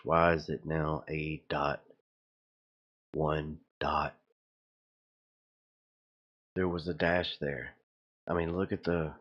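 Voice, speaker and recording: A man with a very deep voice talks slowly through a casual, rambling commentary. He sounds puzzled and insistent as he makes his point. The recording is slightly dull and lo-fi. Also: fairly bright tone. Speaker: video host.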